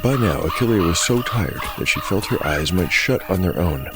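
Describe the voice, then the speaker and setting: A man acting as game master and narrator of a dark fantasy audio drama. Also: deep voice, animated, moderate pace, over music.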